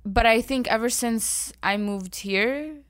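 A woman's voice: a little high, slow pace, fairly bright tone, no room sound, studio quality. A young woman co-hosting a podcast in casual chat, talkative and teasing, laughing often. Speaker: podcast co-host.

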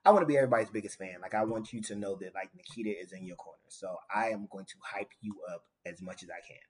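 A man's voice: lowish voice, fast. A man co-hosting a casual podcast chat, earnest and thoughtful, with many fillers.

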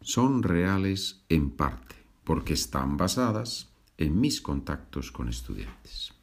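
A man's voice: sits low, sing-song, takes it slowly, creaky, breathy edge. A man hosting a language-learning podcast, patiently repeating and translating each sentence. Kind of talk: slow bilingual teaching podcast.

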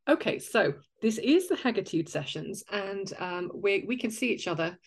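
A middle-aged woman hosting a conversational interview, speaking in measured phrases. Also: mid-range voice, expressive, breathy edge. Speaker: podcast host and interviewer. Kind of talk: conversational interview with prepared introduction.

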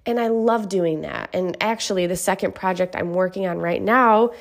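A woman's voice: on the low side, normal speed, vocal fry. Stuffy-nosed woman chatting earnestly. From Michigan. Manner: chatty, earnest, frequent "right?" asides. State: stuffy nose. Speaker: author. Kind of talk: casual chat.

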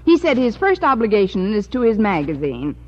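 An American actress playing a wisecracking high school teacher in an old radio comedy, with dry, sardonic delivery. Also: female voice, very animated, brisk, darkish tone. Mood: dry, wry. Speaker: lead actress in a radio sitcom. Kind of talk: 1940s-50s American radio comedy acting. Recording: muffled, noisy old-time radio recording.

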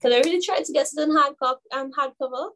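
A woman in her twenties from Grenada, a guest telling stories in a casual interview, chatty and animated with many fillers. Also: high voice, fast talker, slight background noise. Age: 20s. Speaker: guest, children's author.

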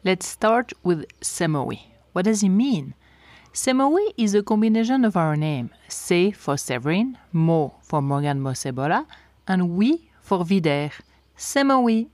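A woman's voice: lowish voice, sing-song, unhurried, a little mellow, no echo. A middle-aged woman from French-speaking Switzerland with a Swiss French accent, whose first language is French, hosting a casual podcast and often repeating words for stress. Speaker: podcast host and lodge co-owner.

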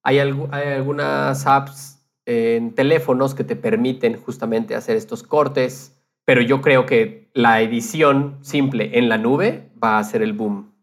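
Mid-pitched man explaining casually on a podcast. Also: fairly even intonation, a little slow.

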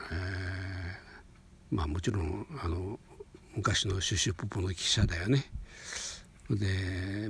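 An elderly man in his eighties from Hokuriku, Japan, recounting personal memories in an unscripted, halting, meandering way with a husky, gravelly voice. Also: deep voice. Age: 80s. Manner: halting, meandering, with sentence-final softeners.

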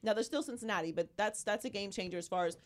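A woman hosts a sports talk show in a hoarse, worn voice, as she has been sick for weeks. She talks with animated, emphatic bursts, her voice sitting at an ordinary middle pitch. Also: fast. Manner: animated, emphatic, riffs with rising exclamations. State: hoarse, losing her voice from illness. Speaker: podcast host.

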